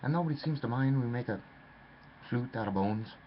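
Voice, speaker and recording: A man's voice: medium pitch, fairly quick. A man telling a rambling, theatrical story, addressing the listener as "lad". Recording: dull lo-fi with faint noise.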